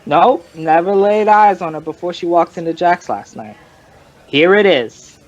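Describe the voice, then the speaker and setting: Folksy, rambling barfly, higher-pitched man. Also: dull audio. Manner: digressive, colloquial, drawn-out storytelling. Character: folksy, rambling male barfly informant telling his story. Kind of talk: old-time radio crime drama acting.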